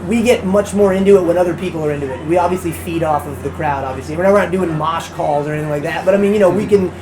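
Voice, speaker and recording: A man with a high voice for a guy, a band member answering interview questions in a fast, rambling way full of "you know". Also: over music. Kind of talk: casual interview chat. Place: outside a music venue. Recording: noisy background ambience.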